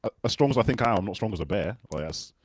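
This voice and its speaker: A man's voice: fairly low pitch, animated, very fast, breathy edge, no echo. A man from the UK hosting a casual video call livestream, jokey and self-deprecating. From United Kingdom. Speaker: host.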